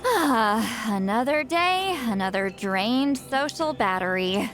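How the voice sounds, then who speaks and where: A woman playing an aloof, prickly shaman with a soft side in an anime-style video game, curt and haughty. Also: a little high, expressive, slow pace, soft background music. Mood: weary.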